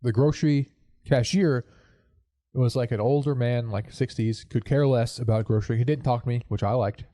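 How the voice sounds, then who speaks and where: A man's voice: medium pitch, steady tone, moderate pace, dark tone, no echo, studio quality. A man with a congested, stuffed-up voice hosting a podcast, chatting casually and telling an anecdote. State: congested from a cold. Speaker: podcast host. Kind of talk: casual chat and anecdote storytelling. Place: living room corner home studio.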